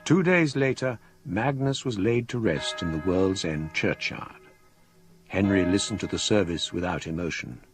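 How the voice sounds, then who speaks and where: An actor playing a scholarly gentleman who recounts a ghost story in measured, formal radio drama narration. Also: deep voice, animated, over music. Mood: sombre.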